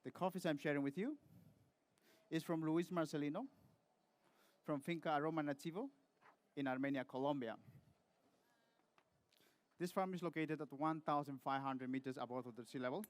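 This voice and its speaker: A man from Peru giving a rehearsed barista competition presentation to judges, speaking in a measured way with short pauses. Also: on the high side, fairly even intonation.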